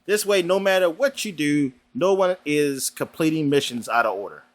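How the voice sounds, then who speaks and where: A man's voice: fairly high pitch, moderate pace. A man co-hosting a gaming podcast, laid-back and crude, laughing often. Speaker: podcast co-host. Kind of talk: casual chat.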